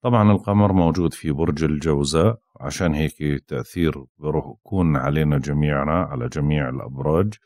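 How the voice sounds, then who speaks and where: A man giving a daily astrology forecast. He sounds tired and unwell, and he talks slowly and haltingly, with frequent drawn-out "uh" pauses. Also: rumbling low, darkish tone, no echo, clean studio sound. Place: indoors, with possible street sounds outside.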